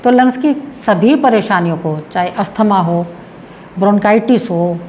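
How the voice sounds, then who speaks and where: A woman's voice: medium pitch, moderate pace, a little mellow, slight background noise. Woman demonstrating a home remedy. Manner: explains with repetition while demonstrating. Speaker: health tips presenter. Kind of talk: demonstration lesson.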